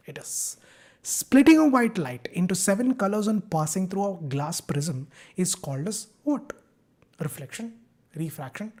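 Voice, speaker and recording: A young man from India teaching a live online science class, chatty and upbeat, bantering with his students. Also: high voice. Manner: upbeat, banters with students, code-switching slang.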